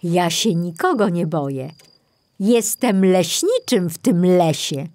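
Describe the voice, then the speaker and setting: A mild forest ranger in a children's tale, read by a woman. He is composed and measured, slow in pace, recorded close and dry. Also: on the low side, expressive, darkish tone, no room sound. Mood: calm, firm.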